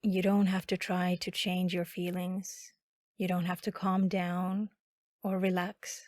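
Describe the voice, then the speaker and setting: A woman guiding a body scan meditation in a calm, instructional manner with long pauses. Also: on the low side, dry recording, studio quality. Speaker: meditation guide.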